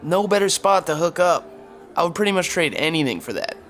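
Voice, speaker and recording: A man's voice: sits high, expressive, brisk, fairly bright tone, slight background noise. A man acting an insufferable, smug twenty-three-year-old in a podcast sitcom. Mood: envious. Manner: pretentious.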